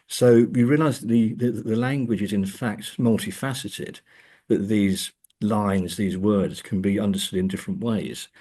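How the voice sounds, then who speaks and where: Male academic guest, very deep and husky, thoughtful and halting in conversation. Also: steady tone, warm tone. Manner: hesitant, thoughtful, halting delivery. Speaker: interview guest, academic and author. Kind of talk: conversational literary and film discussion.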